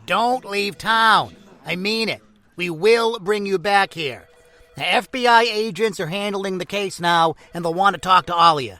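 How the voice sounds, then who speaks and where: A man's voice: high voice, a little slow, bright, forceful tone. A man playing a stern, insistent authority figure in an audio drama.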